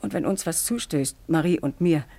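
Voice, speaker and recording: A troubled farmer's wife in a 1950s radio drama, closely and dryly recorded. Her voice is breathy, husky and hushed, full of anxious secrecy. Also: low-pitched, moderate pace, no room sound.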